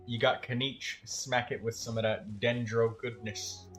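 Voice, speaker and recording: A man's voice: mid-range voice, level tone, measured pace, a bit bright, soft background music. A man giving casual, instructive gameplay commentary in colloquial language, as a gaming host helping another player. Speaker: livestream game host.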